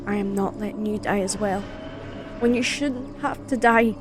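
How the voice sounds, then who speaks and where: A woman's voice: medium pitch, normal speed, lightly acted. A young woman playing a frightened but defiant college student in an amateur audio drama, speaking urgently and emotionally. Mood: reluctant, defiant. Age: young adult. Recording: loud music over the voice.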